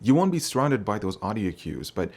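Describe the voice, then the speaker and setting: Deep-voiced man reviewing tech. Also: big pitch swings, brisk, a little mellow, slightly rough. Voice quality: deep bassy voice. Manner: conversational, hedging with fillers. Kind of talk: casual product review.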